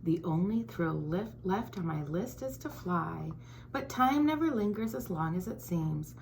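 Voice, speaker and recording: A woman voicing an elderly great-great-aunt in a storybook read-aloud, speaking gently and ruefully. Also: lowish voice, lively intonation, a little slow, gravelly, a little hiss or hum. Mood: wistful. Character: wistful elderly great-great-aunt, former airplane builder.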